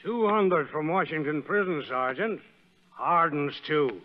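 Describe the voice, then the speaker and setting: A man's voice: fairly high pitch, expressive, unhurried, long reverb tail. An actor playing a dutiful prison corporal reporting to his sergeant in a 1930s radio drama. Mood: brisk, obedient. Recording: dull vintage 1930s radio broadcast.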